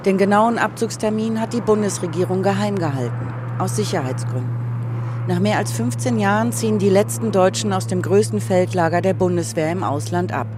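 A reporter calmly narrating a documentary news piece. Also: medium pitch, a little fast, background noise.

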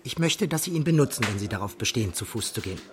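An actor playing a courteous, slightly awkward naval captain in a German radio drama, formal and understated in speech. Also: male voice, medium pitch, animated, rapid-fire, noisy background, natural voice. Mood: courteous, concerned.

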